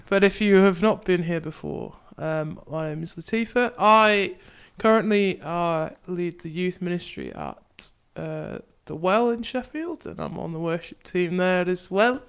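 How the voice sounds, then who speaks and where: A young woman hosting a casual podcast chat, chatty and quick to laugh as she steers the conversation. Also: lowish voice, smooth. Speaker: podcast host and youth ministry leader.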